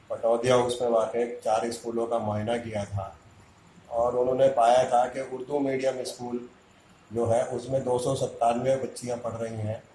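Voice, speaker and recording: A man's voice: medium pitch, darkish tone, a little hiss or hum. Indignant man, echoey room. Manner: indignant, argumentative, rhetorical questions. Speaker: community activist. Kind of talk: impassioned public statement.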